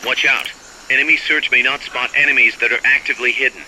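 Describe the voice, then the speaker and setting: A man's voice: medium pitch, steady tone, quick pace, background noise, close to an everyday voice. An actor playing a serious, watchful male military adviser in a video game, giving urgent briefings. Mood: urgent warning.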